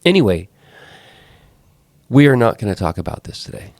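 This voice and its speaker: A man with a low voice and a congested, clogged-up sound from a head cold hosts a podcast. He talks casually, rambles, and restarts his sentences often. The recording is dry, with no room sound. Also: very animated, moderate pace, a little mellow, no echo. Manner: rambling, self-correcting. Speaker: podcast host. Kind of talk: casual talk.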